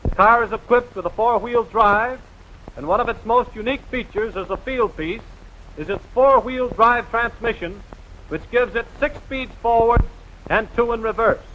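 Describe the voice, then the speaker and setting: High-pitched, bright 1940s American newsreel man. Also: moderate pace, slight background noise, rough recording. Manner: emphatic, rousing, formal announcer delivery. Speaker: newsreel narrator. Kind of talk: 1940s newsreel narration, scripted. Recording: vintage 1940s newsreel film soundtrack.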